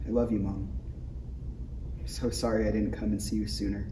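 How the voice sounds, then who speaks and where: A man's voice: fairly low pitch, level tone, a little fast, close to an everyday voice. A man playing an emotional, bumbling young Jedi apprentice in an earnest, dramatic parody table read. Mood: mournful. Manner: earnest, swings from murmuring to shouting. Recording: echoey room, distant mic, faint noise.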